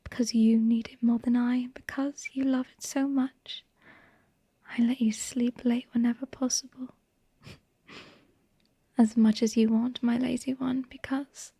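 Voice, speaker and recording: A tender, possessive vampire wife, played by a woman in an intimate ASMR monologue. Her voice is mellow, hushed and breathy, drifting into whispers. She speaks very slowly in a narrow, gentle melody, close and dry. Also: on the high side, no room sound, exaggerated voice. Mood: soothing, affectionate. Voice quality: soft, breathy, half-whispered. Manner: slow, hushed, lovingly murmured.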